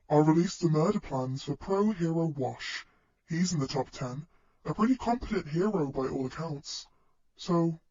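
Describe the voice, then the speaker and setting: Guy doing young villain mastermind, higher voice, slow n smug threats, muffled lo-fi audio. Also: darkish tone, breathy edge. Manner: taunting, slow, deliberate. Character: young male villain mastermind, cheerfully menacing, theatrical. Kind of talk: podfic villain speech. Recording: dull lo-fi sound.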